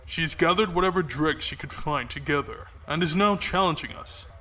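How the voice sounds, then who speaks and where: An actor playing a cold, calculating fleet commodore in a science fiction audio drama, measured, formal and authoritative. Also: male voice, a little high, sharp tone, rough recording. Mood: cold, assured.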